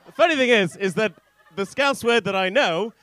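Rambling male stand-up with an animated delivery. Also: high voice. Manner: rambling, halting, sweary. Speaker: stand-up comedian. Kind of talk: stand-up comedy set, informal crowd banter. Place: on stage in a small indoor comedy club. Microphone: handheld stage microphone.

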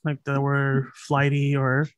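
A man in his late thirties from Los Angeles, a podcast guest talking in a relaxed, thoughtful way with many fillers and restarts. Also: mid-range voice, takes it slowly, no echo. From Los Angeles, USA. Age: late 30s. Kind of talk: casual conversational interview. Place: home room, over a video call.

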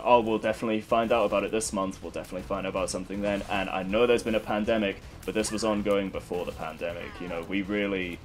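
Young male gaming commentator, deep and stuffy, rambly and candid, swears freely. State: congested from a cold. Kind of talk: casual gameplay commentary.

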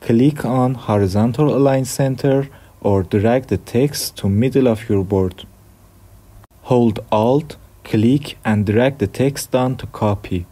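A young man from Iran with an Iranian accent, hosting a software tutorial with plain step-by-step instructions. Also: medium pitch, slow, breathy edge. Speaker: tutorial host. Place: dim room at a desk.

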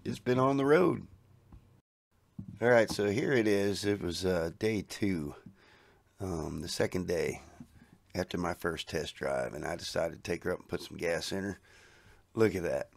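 A man's voice: low voice, unhurried, gravelly, pauses often, no echo. A middle-aged man, narrating his garage project over photos in a folksy, easygoing way. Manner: folksy, easygoing, chuckles at his own jokes. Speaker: garage video host.

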